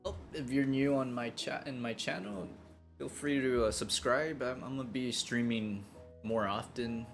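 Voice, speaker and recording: A game streamer chatting casually in a halting, hesitant way. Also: mid-range voice, steady tone. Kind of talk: casual live-stream commentary. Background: game music.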